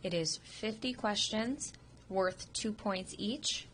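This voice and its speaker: A woman's voice: mid-range voice, slow, fairly bright tone, a little hiss or hum. A woman instructor, sick and sniffling, giving an informal recorded review of a radiography test. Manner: informal, explanatory, with frequent ums. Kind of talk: recorded test review lecture over slides. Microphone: computer microphone.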